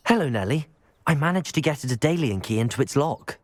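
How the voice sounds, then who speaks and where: A man playing a lively young student wizard. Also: mid-range voice, brisk, dry recording, natural voice. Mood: curious. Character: polite, curious young student wizard hero. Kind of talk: video game character dialogue.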